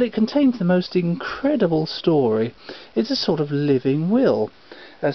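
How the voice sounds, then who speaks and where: A man presenting antique recordings to viewers in a theatrical, mock-formal announcer's manner. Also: on the high side. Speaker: collector presenting antique phonograph cylinders. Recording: dull lo-fi sound with faint noise.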